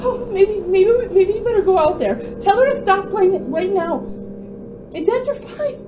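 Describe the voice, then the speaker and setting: A woman's voice: extremely high pitch, expressive, moderate pace, muffled recording. A woman playing a terrified, desperate housewife in an old-time radio drama, stammering and pleading breathlessly. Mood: anxious, evasive. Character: terrified frantic housewife and mother, desperate to hide. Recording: roomy, noisy amateur radio drama recording.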